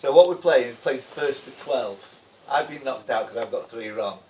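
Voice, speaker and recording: A man's voice: mid-range voice, moderate pace, mellow, dull audio. A man taking part in a live quiz, chatting and commenting casually as a contestant.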